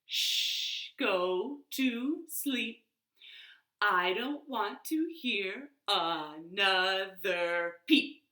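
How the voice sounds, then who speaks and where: A woman voicing an exasperated father from a children's story, scolding firmly with drawn-out hushing. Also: medium pitch, lively intonation, heavily acted. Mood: stern, hushing. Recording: roomy, echoey home recording.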